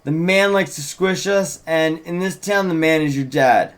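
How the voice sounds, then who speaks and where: A man's voice: fairly high pitch, unhurried, clear, resonant tone, pitched above the performer's own voice, natural voice. A man reading the part of a cynical, teasing teenage girl in a fantasy script, sardonic and slangy.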